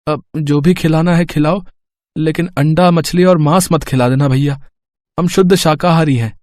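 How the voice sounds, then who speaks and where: Man acting a high-pitched, naive village youth. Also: fairly even intonation, normal speed, a little mellow, no echo, studio quality, natural voice. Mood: earnest. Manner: simple, wide-eyed, hesitant. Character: naive, earnest young village man, eager student.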